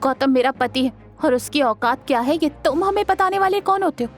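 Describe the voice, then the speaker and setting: A defiant young wife in an audio drama, indignant and protective of her family. She speaks in a high, forceful voice over background music. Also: animated, fairly quick. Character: fiery, defiant young wife defending her husband and father. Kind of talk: Hindi audio drama acting.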